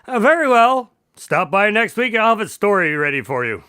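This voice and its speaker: Long-suffering doctor sidekick, radio drama: high, very lively pitch, polite but incredulous. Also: male voice, normal speed, clear, resonant tone, dry recording, studio quality, full character voice. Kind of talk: scripted radio drama acting.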